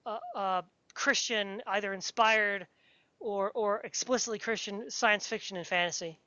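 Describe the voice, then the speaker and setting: A woman's voice: medium pitch, a little slow, bright, forceful tone, no echo. A woman interviewing someone on a podcast in casual, geeky, enthusiastic conversation. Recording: dull, lo-fi podcast audio.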